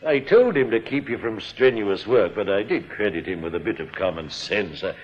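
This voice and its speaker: Radio drama role: man playing clubbable, quietly conniving bank boss, lively mid-pitched delivery, muffled old recording. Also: normal speed, slight background noise, natural voice. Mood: genial. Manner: genial, smoothly reassuring. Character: affable, scheming male senior bank manager.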